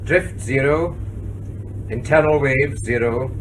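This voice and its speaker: A man's voice: medium pitch, takes it slowly, lightly acted. Actor as a distant submarine crewman calling readings. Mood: procedural. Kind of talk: radio drama acting. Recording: distant, noisy audio drama control room.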